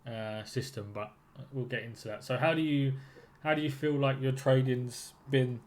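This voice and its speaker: A man's voice: mid-range voice, steady tone, normal speed. A man working as a trading mentor, asking probing questions and giving affirming feedback in a casual one-to-one coaching call.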